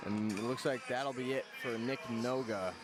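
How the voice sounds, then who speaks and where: A man calling live baseball play-by-play for radio, in short measured phrases with pauses between them. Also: medium pitch, steady tone, over music. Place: press box at an outdoor ballpark.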